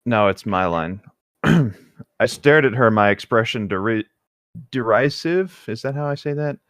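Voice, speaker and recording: A man's voice: medium pitch, expressive, unhurried, a bit bright, no echo. A man co-hosting a podcast, chatting casually and reading passages of a novel aloud. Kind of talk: casual banter and read-aloud.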